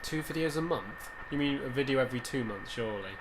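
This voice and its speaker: A man's voice: medium pitch, animated, fast talker. A man hosting a casual video-call chat, joking around and teasing his guest. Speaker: vidcast host. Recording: noticeable background noise.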